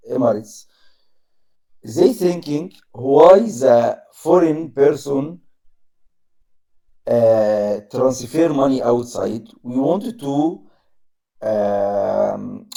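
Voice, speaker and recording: A man practicing his English, halting often to find the right word. He speaks very slowly, and his voice has a gravelly fry and a dark tone. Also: medium pitch, poor audio. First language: Arabic. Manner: halting, searching for words, simple grammar. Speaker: English learner. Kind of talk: casual conversation practice.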